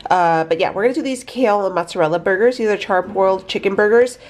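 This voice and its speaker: A woman's voice: mid-range voice, fast talker, a little hiss or hum. A young woman vlogger with a stuffy, nasal voice, chatting casually about her meals in her home kitchen on a handheld phone camera. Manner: chatty, rambling, upbeat. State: stuffy from allergies. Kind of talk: casual food diary chat.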